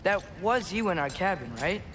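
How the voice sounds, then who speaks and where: A plucky teenage giant boy in a video game, with a high, youthful voice. He talks casually and earnestly, determined underneath. Clear ambient noise sits behind the voice. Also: animated, moderate pace. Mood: casual, musing. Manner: casual, earnest, talking to himself. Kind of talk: naturalistic video game acting.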